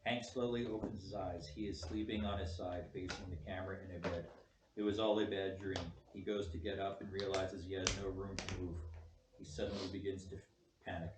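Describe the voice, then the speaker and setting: A man's voice: low voice, level tone, a little fast, music in the background. A man reading out scripted stage directions as the director at a film table read. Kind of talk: scripted narration of screen directions. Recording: distant mic, echoey room, faint noise.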